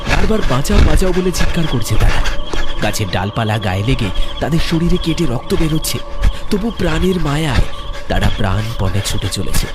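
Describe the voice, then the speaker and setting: Dramatic male horror storyteller, lively swooping pitch. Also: moderate pace, over loud music. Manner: theatrical, suspenseful. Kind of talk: dramatic scripted horror narration.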